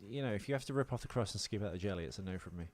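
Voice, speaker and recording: A man co-hosting a podcast in casual comic chat, rambling through anecdotes in a crude, jokey, halting way. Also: lowish voice, animated, a little mellow. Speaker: podcast co-host.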